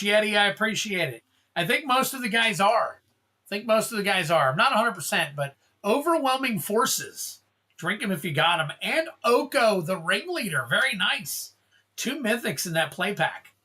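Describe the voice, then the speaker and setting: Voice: mid-range voice, sharp tone, slightly rough. A livestream host opening card packs, chatting casually, calling out card names and thanking viewers in a rambling way.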